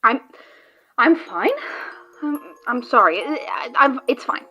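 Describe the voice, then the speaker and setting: A woman's voice: fairly high pitch, expressive, a little slow, bright, forceful tone, muffled recording, soft background music, heavily acted. A woman playing a tense, sarcastic card player trying to save her friend in an audio drama, with a biting, dramatic delivery. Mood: nervous.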